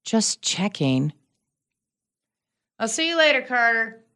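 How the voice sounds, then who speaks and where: A familiar, breezy neighbor in an audio drama. Her voice is fairly high and lively in pitch, with a casual, teasing manner. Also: takes it slowly, exaggerated voice.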